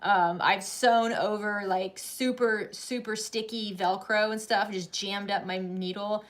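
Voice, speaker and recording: A woman's voice: mid-range voice, steady tone, a little slow. A woman in her thirties hosting a sewing channel, chatting casually with many restarts and frequent laughs. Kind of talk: casual livestream chat.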